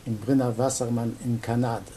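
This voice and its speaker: A man's voice: mid-range voice, a little fast. A male interviewer who steadily reads out a list and asks questions in an interview. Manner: plain, steady listing. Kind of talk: reading a list, asking questions. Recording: faint background noise.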